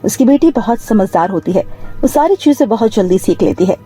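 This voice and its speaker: Woman, scripted story narration, mellow tone, mid pitch, faint music bed. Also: animated, brisk. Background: background music.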